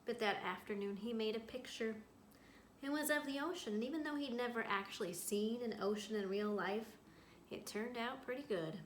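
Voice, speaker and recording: Higher-voiced woman reading aloud in echoey room. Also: moderate pace. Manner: expressive storytelling with asides to young listeners. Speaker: school librarian. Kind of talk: picture book read-aloud for children. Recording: roomy echo, clear background noise.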